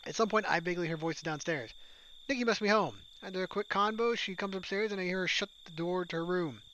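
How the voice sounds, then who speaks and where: Fast-reading man with a high voice. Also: sharp tone, slight background noise, rough recording. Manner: reads first-person narration and lines plainly. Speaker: gamer reading a visual novel. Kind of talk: reading a visual novel aloud.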